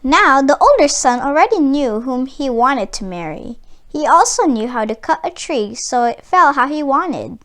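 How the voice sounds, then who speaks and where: A woman reading a children's book aloud as expressive storybook narration. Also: high voice, moderate pace.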